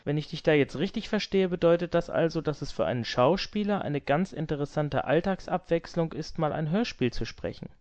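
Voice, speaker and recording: A man's voice: on the high side, lively intonation, fairly quick, few pauses, darkish tone. A man hosting an informal interview and putting questions to his guest. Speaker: interviewer and host. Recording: dull, limited-bandwidth sound.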